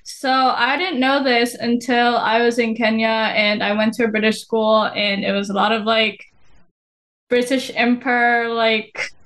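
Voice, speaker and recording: A soft-spoken woman from the Philippines, a podcast guest chatting in a relaxed way with many fillers. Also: on the high side. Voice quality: soft-spoken, not a loud voice. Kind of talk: casual conversation.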